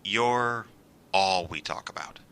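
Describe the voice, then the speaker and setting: A man's voice: low voice, takes it slowly, background noise. A man playing a nervous, placating friend in an audio drama, hesitant and stammering but earnest. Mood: awkward, placating. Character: nervous, placating male friend withholding a secret. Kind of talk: naturalistic audio drama acting.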